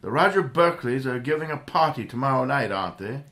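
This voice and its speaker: A man acting a calm, commanding playboy crime-fighter in a 1930s-style radio drama reenactment. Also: mid-range voice, quick pace. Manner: cool, commanding, reassuring.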